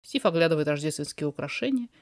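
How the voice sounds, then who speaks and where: A narrator reading a fiction story aloud in an expressive, dramatized way. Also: mid-range voice, fast, no room sound, clean studio sound. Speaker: podfic reader, narrator.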